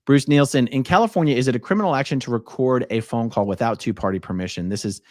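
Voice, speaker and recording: A man's voice: mid-range voice, fast, darkish tone, no echo, studio quality. A man hosting a live stream, reading viewer messages and commenting in a casual, blunt, conversational way.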